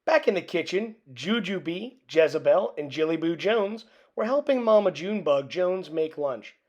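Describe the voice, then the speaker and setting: A woman narrating a children's picture book aloud in an expressive, deliberate storytelling manner. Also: on the low side, muffled recording. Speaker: storybook reader.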